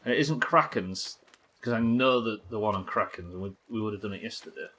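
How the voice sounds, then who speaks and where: A man's voice: on the low side, a little fast, pushed and bright. A man doing casual livestream game commentary, thinking aloud, questioning himself and swearing now and then. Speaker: live game streamer.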